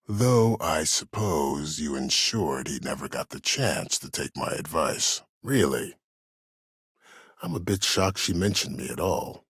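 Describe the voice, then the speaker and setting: An actor playing a calculating, courteous older military schemer in a video game, speaking in a measured, formal, silkily polite and menacing way. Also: male voice, very deep voice, slightly rough, dry recording, studio quality, full character voice. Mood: smooth, sly. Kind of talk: dramatic video game voice acting.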